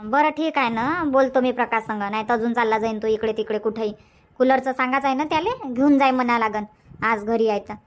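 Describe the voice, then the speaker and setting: A woman's voice: a little high, fast talker, natural voice. A woman voicing a bossy, fussing village mother-in-law in a cartoon comedy, colloquial and repetitive, with a rural Varhadi Marathi accent. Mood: instructing. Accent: rural Varhadi Marathi from Vidarbha.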